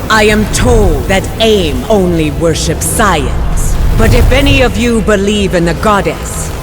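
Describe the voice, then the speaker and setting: Woman acting a slow, solemn warrior heroine. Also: medium pitch, animated, lightly acted. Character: solemn, devout warrior heroine, calm but urgent. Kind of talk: video game cutscene acting. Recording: very loud music underneath.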